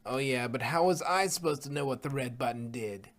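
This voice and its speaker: A man's voice: medium pitch, fairly quick, fairly bright tone, close to an everyday voice. Man reading as a cheeky, defensive young filly. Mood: indignant. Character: bickering young cartoon pony fillies, defensive and cheeky. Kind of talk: fan fiction character reading.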